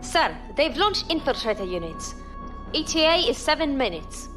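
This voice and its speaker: An actress playing an alert junior ensign reporting on a starship in an audio drama. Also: female voice, high voice, big pitch swings, moderate pace, fairly bright tone, faint music behind. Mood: urgent.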